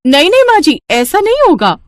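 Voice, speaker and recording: A woman's voice: extremely high pitch, wildly swooping pitch, fairly quick, no echo, pitched above the performer's own voice, exaggerated voice. Woman playing an earnest, frightened young daughter-in-law. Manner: earnest, superstitious, anxious.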